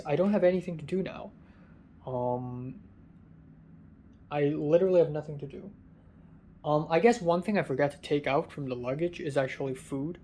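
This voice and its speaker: A tired young man with a raspy voice, rambling through a casual vlog from a hotel room, halting with many ums. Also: a little high, darkish tone. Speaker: vlogger.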